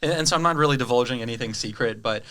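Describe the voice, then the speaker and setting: A man from Brazil whose first language is Portuguese, a sales rep telling his career story in a casual interview, chatty and quick to laugh. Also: medium pitch, pushed and bright. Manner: chatty, lots of "right?" tags and laughs. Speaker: guest, cloud sales rep.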